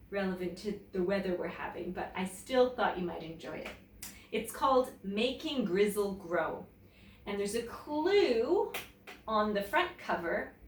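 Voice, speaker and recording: A woman reads a children's story like a teacher addressing young listeners. Her delivery is slow and deliberate, with lively swings in pitch. The recording is roomy, a little distant from the mic, with faint noise. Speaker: teacher reading a story aloud. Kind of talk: animated children's picture-book read-aloud.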